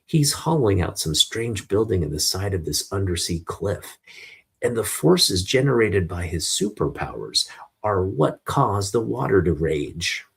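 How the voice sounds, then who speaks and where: A man's voice: sits low, big pitch swings, measured pace, gravelly, slightly rough, heavily acted. A man voicing an eager, daring young cub reporter with boyish, exclamatory wonder as he reads a comic book aloud. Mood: astonished.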